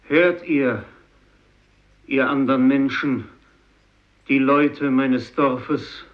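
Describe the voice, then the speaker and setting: A man acting a remorseful fisherman survivor in a 1950s radio play, solemn and halting, as if praying and warning. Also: mid-range voice, steady tone. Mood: remorseful, pleading. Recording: dull old radio recording.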